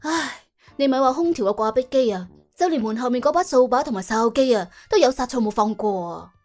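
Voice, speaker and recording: A woman's voice: fairly high pitch, lively intonation, a little fast, a little mellow. A woman voicing a streetwise, world-weary young female debt collector, sardonic and colloquial, in an audio drama. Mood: indignant. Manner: colloquial, sardonic, exclamatory, argues with rhetorical questions. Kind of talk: audio drama character acting.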